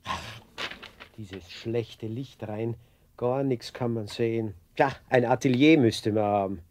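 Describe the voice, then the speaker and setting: A man playing a kindly, unhurried painter in a children's radio play. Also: mid-range voice, background noise, natural voice. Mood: kindly, grumbling.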